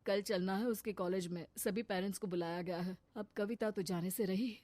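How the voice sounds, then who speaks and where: A woman's voice: medium pitch, fairly even intonation, normal speed, mellow, no room sound, lightly acted. A woman voicing an exasperated middle-aged husband and father in a cartoon family drama, weary and chiding. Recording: faint background noise.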